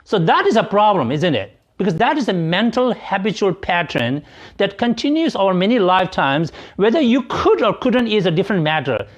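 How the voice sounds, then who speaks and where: A man interpreting Buddhist teachings, explaining them haltingly as he searches for words and often rephrases. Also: high-pitched, sing-song. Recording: dull, lo-fi audio.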